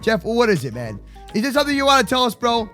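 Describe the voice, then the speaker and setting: A man playing a hyper, goofy little daycare boy in a Minecraft roleplay, repeating phrases in excited exclamations. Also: very high-pitched, quick pace, heavily acted. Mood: playful. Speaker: host of a Minecraft roleplay show. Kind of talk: excited improvised kids' game roleplay. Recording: music behind the voice.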